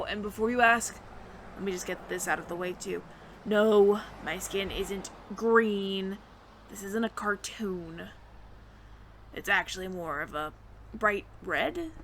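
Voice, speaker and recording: A woman's voice: medium pitch, a bit bright, slight background noise. A woman voicing a friendly, flustered alien roommate who passes as human, speaking to the listener in a hesitant, stammering, earnest ramble in an audio roleplay. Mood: explaining, sheepish.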